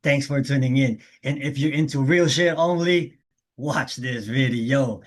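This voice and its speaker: A man hosting a casual interview, asking loose, halting questions in street slang. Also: medium pitch. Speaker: host.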